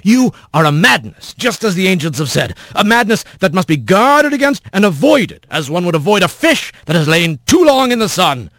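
A man's voice: high-pitched, very animated, normal speed, few pauses, fairly bright tone, no echo, studio quality, exaggerated voice. A man voicing a huge, grave bear who declaims pompously and scornfully in archaic phrases. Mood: solemn, scornful. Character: huge solemn bear, grave, contemptuous, oratorical.

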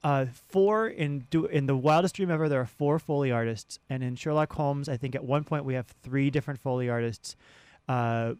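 A man speaking conversationally as an interview guest, halting and often restarting his phrases. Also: medium pitch, a little mellow, clear, resonant tone, dry recording. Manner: halting, with repeated words and restarts. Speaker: sound designer and guest.

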